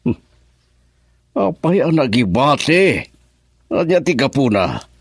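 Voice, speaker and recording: A man's voice: medium pitch, big pitch swings, takes it slowly, gravelly, slightly rough, dry recording, clean studio sound, heavily acted. A man acting in a radio drama as an elderly, patient and caring father, with an Ilocano accent. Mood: puzzled, concerned.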